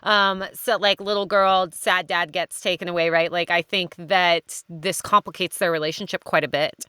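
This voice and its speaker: A woman's voice: fairly low pitch, steady tone, moderate pace, sharp tone, clean tone, no room sound, studio quality. A woman chatting casually in a cast discussion, speaking emphatically and padding her points with filler phrases. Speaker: cast member.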